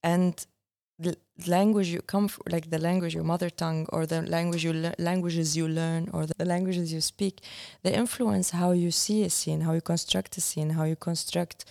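A young woman whose voice sits a little low. She talks casually as a guest filmmaker, restarting sentences and hunting for the right word as she goes. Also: steady tone, normal speed, vocal fry, dark tone. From Syria. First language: Arabic. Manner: searching for words, many restarts. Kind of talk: casual conversation.

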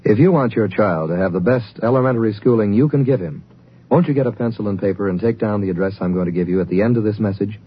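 A man's voice: fairly low pitch, quick pace. A man reading a 1950s radio public service announcement in a formal, earnest announcer's style. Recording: muffled, hissy old radio recording.

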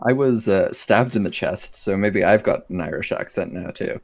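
A woman at a game table with a remarkably deep voice and a husky edge. She cheers her friends on and slips in wry, deadpan jokes. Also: moderate pace. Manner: dry, wry humour. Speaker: player. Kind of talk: casual chat at a game table.

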